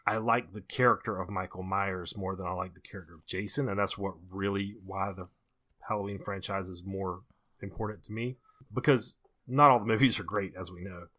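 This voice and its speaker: A man's voice: deep voice, steady tone, brisk, bright, forceful tone. A man co-hosting a horror movie podcast, giving casual, opinionated commentary and repeating his points for emphasis. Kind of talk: casual opinionated film discussion.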